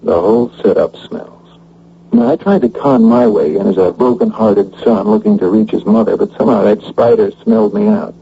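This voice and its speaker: Gravelly, streetwise police detective. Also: male voice, lowish voice, animated, normal speed, a little hiss or hum. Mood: earnest, scheming. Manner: colloquial, hesitant then cocky. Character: streetwise, wisecracking male New York police detective. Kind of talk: radio drama acting. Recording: dull, humming old radio broadcast recording.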